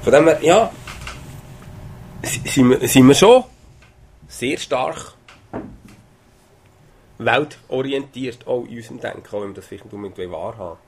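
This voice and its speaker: Man, Swiss German accent, preacher, gravelly low voice, faint background noise. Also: lively intonation, normal speed, long pauses. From Switzerland. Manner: conversational preaching with hesitations and rising emphasis. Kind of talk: sermon.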